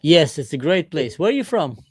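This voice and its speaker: A man's voice: on the high side, big pitch swings, brisk, husky, no room sound, studio quality, soft background music. A man in his forties from Israel, chatting with strangers on a livestream and asking lots of questions. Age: 40s. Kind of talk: casual video chat. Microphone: desk camera mic.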